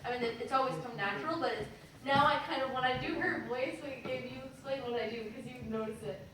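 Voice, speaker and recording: A young voice actress answering panel questions, hesitant and giggly with many fillers. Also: female voice, high-pitched, fast talker, noisy background. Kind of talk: casual panel Q&A. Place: large echoey convention hall. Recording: echoey hall recorded from a distance.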